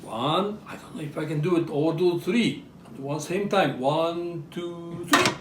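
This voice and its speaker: A man's voice: fairly high pitch, unhurried, a bit bright. A man from Japan with a Japanese accent gives a live show-and-tell demonstration, often checking in with his audience through short questions. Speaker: presenter demonstrating traditional toys. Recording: roomy, distant mic with background noise.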